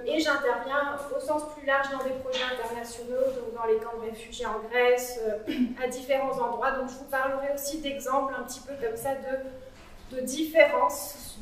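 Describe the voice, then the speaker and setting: A woman's voice: sits high, fairly even intonation, normal speed, distant mic, slight background noise. A woman psychologist speaking conversationally to a small audience in a cool meeting room, away from the microphone. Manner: conversational, interactive, frequent "euh" fillers. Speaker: psychologist giving a talk. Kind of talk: interactive conference talk. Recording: very echoey room, voice from afar.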